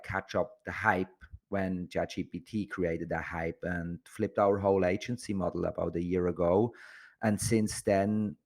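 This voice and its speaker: A man from Switzerland, chatting casually as an interview guest. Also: deep voice. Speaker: podcast guest, agency entrepreneur.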